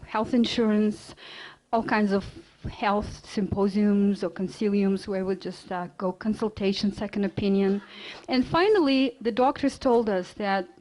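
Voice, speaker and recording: A middle-aged woman from Russia with a Russian accent, giving an earnest personal lecture with quick bursts, fillers and restarts. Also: medium pitch, a little mellow, low-quality recording. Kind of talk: storytelling lecture.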